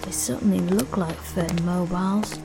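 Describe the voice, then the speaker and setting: A woman's voice: fairly low pitch, moderate pace, vocal fry, music in the background, poor audio. A woman playing a no-nonsense police officer on guard duty in an audio drama. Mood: brisk, official. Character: brisk, no-nonsense policewoman guarding a crime scene.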